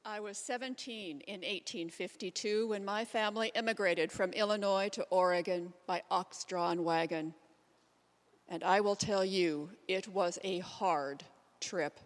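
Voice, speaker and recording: A woman's voice: medium pitch, a little slow, close to an everyday voice. Woman playing a plainspoken pioneer woman, echoey hall. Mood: matter-of-fact. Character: plainspoken pioneer woman recalling her hard frontier life. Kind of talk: readers theater monologue. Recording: echoey hall, long reverb.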